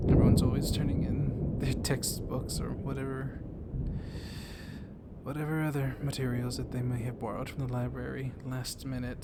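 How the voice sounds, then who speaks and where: A man playing a gently dominant, comforting vampire librarian in an intimate ASMR roleplay, speaking softly and haltingly, often slipping into a whisper. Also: mid-range voice. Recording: clear storm noise behind the voice. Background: thunderstorm ambience.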